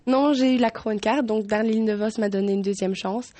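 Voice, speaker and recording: A woman's voice: fairly high pitch, steady tone, normal speed, warm tone, no echo. A woman in her twenties, a pageant candidate whose first language is German, answering interview questions in a studio in hesitant speech full of restarts and fillers. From German-speaking Belgium. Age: 20s.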